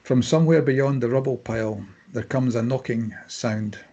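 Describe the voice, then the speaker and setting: A man's voice: mid-range voice, moderate pace, highs cut off, background noise. A man reading stage directions as scripted narration for a drama table read.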